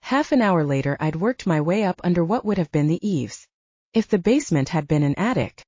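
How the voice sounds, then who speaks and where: A woman's voice: lowish voice, animated, brisk, darkish tone, no room sound, muffled recording. A woman reading scripted first-person fiction as an audiobook narrator.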